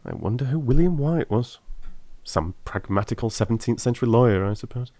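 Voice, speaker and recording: A man's voice: medium pitch, lively intonation, brisk, dark tone, dry recording, natural voice. A man voicing a brilliant, confident detective in a dramatised audiobook, with theatrical, emphatic delivery. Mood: musing, animated. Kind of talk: dramatised audiobook character acting.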